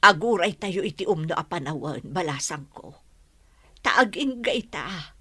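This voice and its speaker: A woman's voice: on the low side, lively intonation, measured pace, sharp tone, husky, dry recording, heavily acted. A woman playing an anxious, cautious villager in a radio drama, speaking in an earnest, lamenting manner. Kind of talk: Ilocano radio drama acting.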